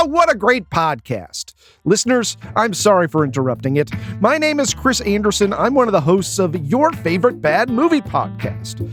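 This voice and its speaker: A man's voice: high voice, very animated, normal speed, a bit bright. A man hosting a podcast, delivering an upbeat promo read for his show. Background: music.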